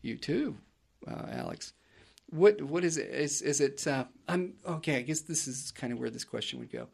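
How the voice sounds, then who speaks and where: A man's voice: mid-range voice, wildly swooping pitch, moderate pace, creaky, darkish tone, breathy edge. A man hosting a casual interview who rambles and restarts his questions. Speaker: host.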